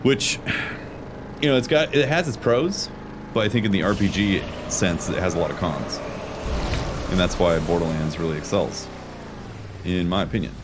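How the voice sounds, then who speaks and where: A man doing casual live gameplay commentary, chatting with viewers in a slangy, animated way as he plays. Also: sits low, moderate pace, pauses often, noisy background. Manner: animated, reacts out loud while playing. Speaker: game streamer.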